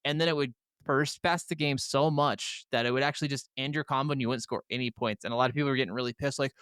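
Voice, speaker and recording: A man's voice: mid-range voice, steady tone, fast, fairly bright tone, clean tone, dry recording, studio quality. A man co-hosting a podcast, chatting casually about video games in an enthusiastic, freely swearing way. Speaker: podcast co-host.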